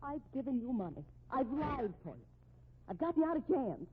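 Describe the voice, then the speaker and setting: An actress playing an anxious, reproachful, respectable sister of a fugitive in a 1950s radio drama, agitated and scolding, on a muffled old recording. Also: female voice, high voice, very animated, normal speed, slight background noise.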